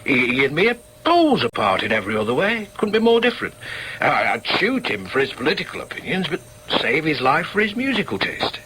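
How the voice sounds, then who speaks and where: Stubborn Northern socialist dad, bright-voiced. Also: male voice, on the high side, big pitch swings, a little hiss or hum, low-quality recording. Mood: combative. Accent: Northern English. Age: middle-aged. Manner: emphatic, halting repetitions, muttered inner asides. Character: argumentative socialist shop steward father, proud and stubborn. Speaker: actor in a radio play. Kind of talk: naturalistic radio drama with inner asides.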